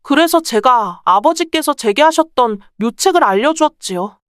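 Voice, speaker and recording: A woman's voice: sits high, lively intonation, moderate pace, clear, resonant tone, no room sound, clean studio sound. A woman playing a clever, bold young merchant's daughter in a folktale, speaking politely and persuasively. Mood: persuasive, pleased. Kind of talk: acted Korean folktale dialogue.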